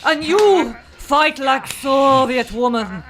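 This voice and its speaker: A woman's voice: sits high, animated, takes it slowly, fairly bright tone. A woman in an amateur comic radio play voicing a scheming Soviet spy disguised as a nun, barking orders in a put-on heavy Russian accent. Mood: defiant.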